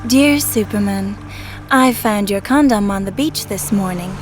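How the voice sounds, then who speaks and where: A woman reads poetry at an ordinary pitch, measured and deadpan. Music plays clearly behind her voice. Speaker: poetry reader. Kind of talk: scripted poetry reading.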